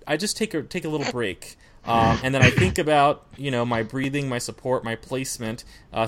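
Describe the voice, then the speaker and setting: A man co-hosting a podcast on singing, explaining in a relaxed, rambling way. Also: medium pitch. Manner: relaxed, rambling, many fillers and restarts. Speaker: podcast co-host, singer. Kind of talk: casual podcast chat.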